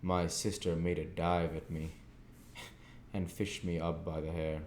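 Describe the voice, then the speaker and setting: A low-pitched man reads a classic novel aloud at a slow pace. His phrasing is deliberate and broken into short pieces. The room echoes around him. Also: little pitch movement, darkish tone. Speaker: audiobook reader. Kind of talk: scripted narration of a classic novel. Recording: echoey room with faint background noise.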